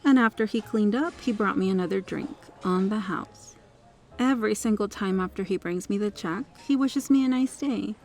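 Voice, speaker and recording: A woman's voice: mid-range voice, normal speed, darkish tone, lightly acted. Woman acting as kind female diner, ASMR roleplay, polite, articulate, reassuring, music behind the voice. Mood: firm, earnest. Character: kind, well-spoken female restaurant regular, firmly protective.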